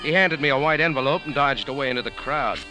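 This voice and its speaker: A hard-boiled American man from a 1940s radio mystery, played by an actor. He is laconic, wry and deadpan at a medium pitch, with prominent music behind him. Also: fast talker, lightly acted. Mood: cool, narrating. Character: cool, wry American café owner turned sleuth. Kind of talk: 1940s radio drama narration and dialogue.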